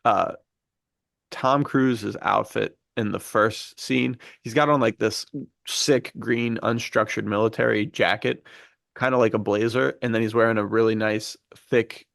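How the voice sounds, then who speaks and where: Low, gravelly man chatting. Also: fairly even intonation, a little slow, fairly bright tone, dry recording, clean studio sound. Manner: enthusiastic, slangy. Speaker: podcast host. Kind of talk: casual film discussion.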